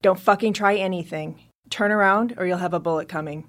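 A woman's voice: on the low side, a little fast, a bit bright, lightly acted. A middle-aged woman acting a wary, tough survivor mother in an audio drama, commanding, terse and foul-mouthed. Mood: threatening. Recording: music behind the voice.

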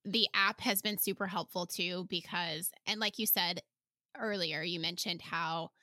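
A woman's voice: lowish voice, steady tone, normal speed, pushed and bright, dry recording, studio quality. An American woman hosting a podcast, talking in a casual, chatty and informal way. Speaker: podcast host.

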